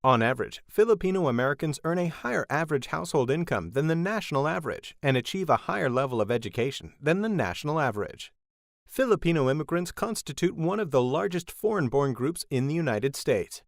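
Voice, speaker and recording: A man's voice: a little high, big pitch swings, quick pace, gravelly, dry recording, studio quality. A man reading scripted documentary narration.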